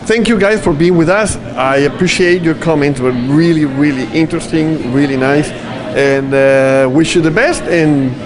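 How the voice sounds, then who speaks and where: A man's voice: on the high side, moderate pace. A man hosting a show, interviewing guests on location and often repeating his words. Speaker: show host. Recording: noisy crowd around.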